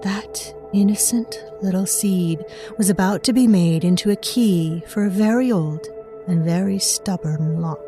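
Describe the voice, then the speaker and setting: A woman playing a mysterious narrator, telling a dark fairy tale in a measured, dramatic way for an audio drama. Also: mid-range voice, natural voice. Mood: ominous. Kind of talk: scripted dark storytelling narration. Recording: music behind the voice.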